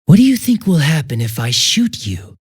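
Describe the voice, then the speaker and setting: An actor playing a smug, mocking young detective turned murderous villain in a video game, swinging theatrically between bored drawl and giddy taunts. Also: male voice, on the high side, big pitch swings, normal speed, slightly rough, dry recording, clean studio sound, heavily acted. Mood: bored, contemptuous.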